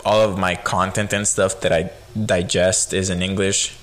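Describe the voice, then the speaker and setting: A young man hosting a podcast, a native Spanish speaker with a light Spanish accent, chatting in a relaxed, rambling way with lots of fillers. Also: low voice, moderate pace. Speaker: podcast host. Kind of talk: casual chat.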